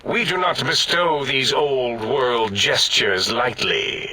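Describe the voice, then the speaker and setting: A man's voice: medium pitch, animated, slow, pushed and bright, full character voice, rough recording. A man voicing a pompous, imperious robot scientist in a video game, declaiming in a grandiose, pedantic way. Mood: self-satisfied. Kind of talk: video game character acting.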